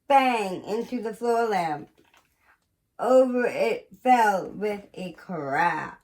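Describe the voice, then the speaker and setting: Slow-paced woman reading a storybook. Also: mid-range voice. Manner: expressive, deliberate storytelling with pauses. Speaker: museum educator reading aloud. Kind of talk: children's picture book read-aloud.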